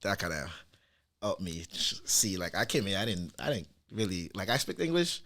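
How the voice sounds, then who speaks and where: A man in his twenties with a low, deep voice chats as a podcast guest. He is from Nigeria and speaks in a laid-back, easygoing way, full of casual slang. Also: very animated, normal speed, a bit bright. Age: 20s.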